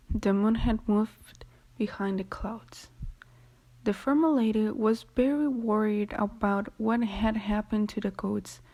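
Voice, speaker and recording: A woman's voice: medium pitch, a little mellow. A woman giving scripted storytelling narration for a radio drama.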